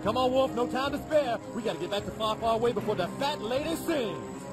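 A man's voice: very high-pitched, sing-song, brisk, loud music behind the voice. A man voicing a magic mirror that talks like a bombastic game-show announcer, showy and drawn out. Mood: urgent.

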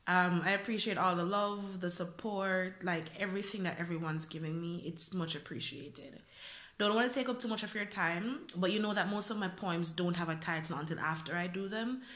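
A woman's voice: fairly low pitch, fairly bright tone. Young woman reciting freestyle poetry, echoey room. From Jamaica. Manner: earnest, searching, rhetorical questions. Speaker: spoken word poet. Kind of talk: freestyle spoken word poetry. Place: small indoor room. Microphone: phone camera close up.

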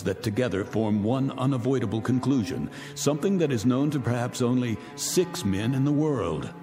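An actor playing a wealthy, cynical man who calmly announces the end of the world from his bunker, with a smooth, menacing, deliberate delivery. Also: mid-range voice, lightly acted. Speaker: Mr. Raiden, bunker owner. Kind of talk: radio drama acting. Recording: long reverb with music beneath.

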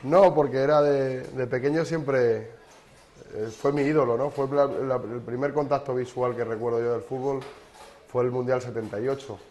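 Hombre charlatán contando anécdotas. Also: male voice, medium pitch, fairly even intonation, normal speed, a little mellow. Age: 30s. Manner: chatty, anecdotal, rambling with restarts. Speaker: sports journalist guest. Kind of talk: casual interview chat. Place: kitchen.

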